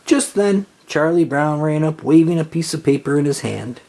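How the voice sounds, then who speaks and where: Animated children's storybook reader. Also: mid-range voice, moderate pace. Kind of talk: expressive read-aloud storytelling.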